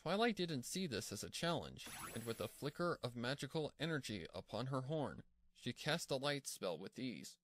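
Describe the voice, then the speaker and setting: A man's voice: mid-range voice, very animated, normal speed, hardly any pauses, fairly bright tone, slight background noise. A man reading scripted fan-fiction narration as the storyteller.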